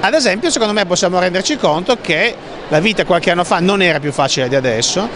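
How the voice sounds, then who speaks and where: A middle-aged man who presents books, commenting calmly and reflectively at a busy book fair, speaking into a handheld mic. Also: sits high, fast. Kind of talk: interview comment. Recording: crowd noise behind.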